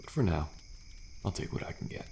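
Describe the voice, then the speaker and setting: A man playing a weary, thrill-seeking survivor in an intimate ASMR audio roleplay, talking in a slow, laid-back drawl with pauses and sighs. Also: warm tone, slight background noise, natural voice. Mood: wry, fatalistic. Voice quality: deep, gravelly. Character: weary, thrill-seeking male survivor of endless killer trials. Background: campfire ambience with crickets.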